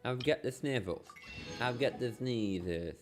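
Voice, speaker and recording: A man's voice: mid-range voice, lively intonation, slow, faint music behind. A man with a cold streaming a game, coughing and sniffling, talking half to himself and reading the game text aloud. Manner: mumbly, half to himself, sing-song repeats.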